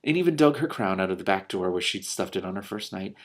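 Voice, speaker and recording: A man reading a storybook aloud as host, breaking in with casual, animated and incredulous asides. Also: low voice, fast talker.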